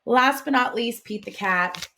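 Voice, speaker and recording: A woman's voice: a little high, a little slow, sharp tone, slight background noise. A woman hosting an informal instructional vlog, explaining things conversationally like a classroom teacher. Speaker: classroom teacher and video host. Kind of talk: casual instructional vlog talk about picture books.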